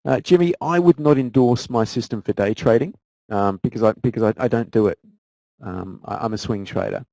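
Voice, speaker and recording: A man's voice: medium pitch, fairly quick, creaky, dark tone, no echo, rough recording. A man with an Australian accent, a guest trader answering viewer questions in a casual live Q&A, often repeating words as he restarts sentences.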